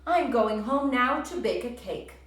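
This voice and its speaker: A woman voicing a male storybook toad who is excitable, plaintive and easily made gloomy. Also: fairly high pitch, a little slow, pitched above the performer's own voice. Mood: sad. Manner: exclaiming, plaintive. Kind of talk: children's storybook read-aloud. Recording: roomy, distant, faint background noise.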